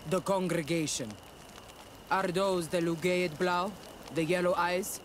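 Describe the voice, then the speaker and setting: High-voiced, proud rebel leader. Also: male voice, fairly even intonation, unhurried, slight background noise. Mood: guarded, proud. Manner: blunt, resolute, solemn. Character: proud, stern rebel camp leader, native islander warrior. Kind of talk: scripted video game cutscene dialogue.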